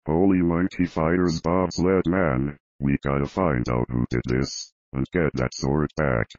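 A man's voice: very deep voice, fairly even intonation, unhurried, a little mellow, no echo, lo-fi sound. A man voicing a dim, boastful male sidekick hero with a goofy, dragging delivery in a homemade cartoon.

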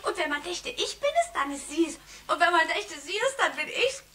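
Pünktchen, a very high-pitched cheeky little girl. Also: expressive, a little fast, sharp tone, exaggerated voice. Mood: excited, gleeful. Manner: chattering, playful, fanciful. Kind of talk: 1960s German radio play acting. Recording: distant, noisy old vinyl record.

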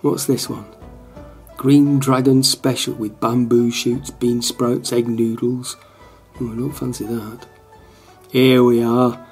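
An actor as a wry football fan. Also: male voice, medium pitch, little pitch movement, takes it slowly, a little mellow, faint music behind. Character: wry football fan, melancholy narrator of an away trip. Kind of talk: radio play narration.

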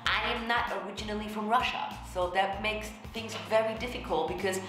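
A young woman vocal coach, a native German speaker, explaining herself in casual commentary to the camera with lively gestures. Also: mid-range voice, a little fast, over music. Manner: animated, gestures a lot, explanatory. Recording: very echoey room.

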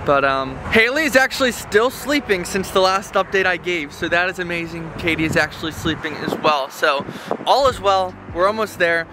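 A man's voice: high voice, moderate pace, noisy background. A young man in his twenties giving chatty, upbeat updates to a handheld camera during a road trip. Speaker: vlogger. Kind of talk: casual travel vlog. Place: car and parking lot at night, handheld camera. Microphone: handheld camera at arm's length.

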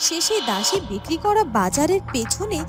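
A woman's voice: very high-pitched, sing-song, moderate pace. A woman narrating a scripted fairytale cartoon as its storyteller. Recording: music behind the voice.

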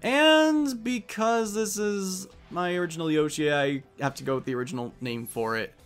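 A young man giving casual, animated commentary as the host of a video game let's play. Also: sits high, normal speed, clean tone, soft background music.

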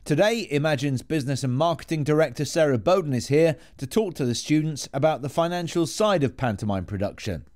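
A man's voice: fairly high pitch, animated, brisk, few pauses, a little mellow. A man hosting a podcast, presenting a prepared introduction and putting questions to guests. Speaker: podcast host. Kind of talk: scripted podcast introduction and interviewing.

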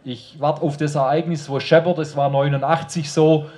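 Higher-pitched Swabian man speaking at a rally. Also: little pitch movement, normal speed, dark tone. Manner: colloquial, addressing the crowd directly. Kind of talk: informal speech at a political rally. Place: outdoor stage under an open tent.

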